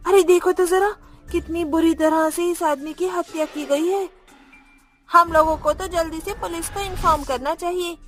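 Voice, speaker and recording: Woman playing an anxious villager, high voice, earnest, fretful, horror storybook, faint music. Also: fairly even intonation, moderate pace, a bit bright. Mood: worried. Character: worried villager fretting over killings.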